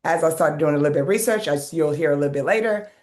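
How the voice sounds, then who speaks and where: A woman's voice: deep voice, rapid-fire, a little mellow. A woman in her fifties, raised in Sweden, chatting as a guest in an interview about her background and business. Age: 50s. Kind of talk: casual interview.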